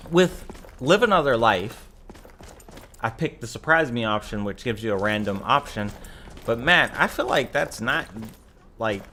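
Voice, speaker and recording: A man with a slightly raspy voice giving casual live game commentary as a streamer, rambling and correcting himself as he thinks aloud. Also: medium pitch, sharp tone, slight background noise.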